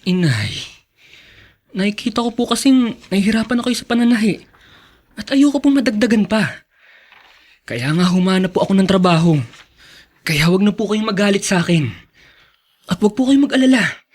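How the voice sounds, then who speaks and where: A devoted young Filipino son in a radio drama. His voice sits fairly high for a man, and he speaks earnestly, with tender respect. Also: expressive, moderate pace, pauses often. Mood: pleading, reassuring. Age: young adult. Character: devoted, earnest student son, caring and anxious. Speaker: actor in a drama.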